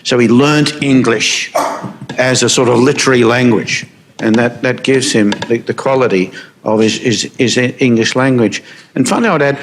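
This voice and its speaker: A man's voice: medium pitch, moderate pace, slight background noise. A man answering on a panel, assured, driving points home with tag questions.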